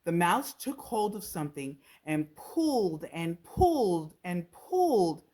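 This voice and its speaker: A woman's voice: on the low side, sing-song, slow, dark tone, slightly rough. A woman reading a children's storybook aloud as a storytime narrator. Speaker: storytime reader.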